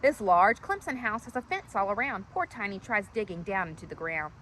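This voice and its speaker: A woman storyteller reading a rhyming children's story aloud in an animated style. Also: medium pitch, fairly quick, a little hiss or hum.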